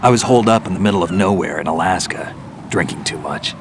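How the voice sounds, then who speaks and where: Game veteran soldier played by a male actor: very low, gruff, earnest idealist, noisy backdrop. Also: fast, lightly acted. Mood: reflective. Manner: terse, earnest. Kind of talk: dramatic video game acting. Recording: clear background noise.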